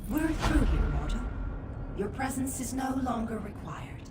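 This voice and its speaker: An actress voicing a haughty, gloating otherworldly servant who scorns mortals in a formal, condescending way, for a video game. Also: female voice, a little high, sing-song, a little slow, heavy background noise. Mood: haughty, dismissive. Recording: echoing, distant-sounding, under loud music.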